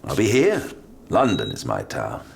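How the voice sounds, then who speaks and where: Gruff wry detective in audio drama, really animated pitch, slow delivery. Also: male voice, slight background noise, full character voice. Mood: wry, relieved. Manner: dry, gruff banter. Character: tough, wry male London demon-hunting detective. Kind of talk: full-cast audio drama acting. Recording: music behind the voice.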